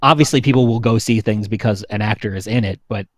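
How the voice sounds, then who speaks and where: A man's voice: fairly low pitch, fast talker, no echo, clean studio sound. A young man talking casually as a podcast panelist. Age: young adult. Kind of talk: casual chat.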